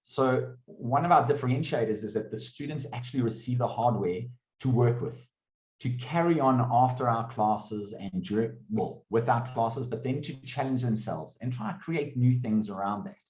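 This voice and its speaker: A man's voice: medium pitch, expressive, normal speed, a little mellow. A man gives an upbeat, casual presentation over a video call. His voice carries a roomy echo, and the sound is slightly muffled. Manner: casual and upbeat, with fillers and restarts. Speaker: presenter of a coding course. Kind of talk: online open day presentation.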